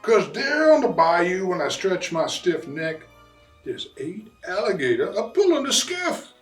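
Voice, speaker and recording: A man voicing an excitable bayou papa who tells a rhyming Christmas storybook tale in a folksy, animated way with drawn-out emphasis. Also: high voice, pitched above the performer's own voice, heavily acted. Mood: excited wonder. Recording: roomy, distant mic, faint music.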